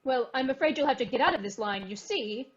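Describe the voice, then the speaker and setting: A woman playing an officious, exasperated department-store employee in a brisk radio comedy style, on a slightly dull recording. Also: high-pitched, lively intonation. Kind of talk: old-time radio comedy acting.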